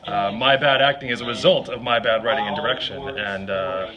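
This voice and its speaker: A man's voice: mid-range voice, brisk. A man who performs and directs, answering an interview casually in a self-deprecating way. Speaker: improv performer, writer and director. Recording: noisy crowd around the voice. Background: crowd chatter.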